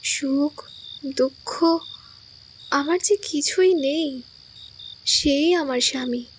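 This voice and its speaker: A lovesick young bride, high and impassioned. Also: female voice, drawn-out words, big-room sound, a little hiss or hum. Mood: dreamy. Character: lovesick young woman, devoted and feverish. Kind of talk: audio drama acting.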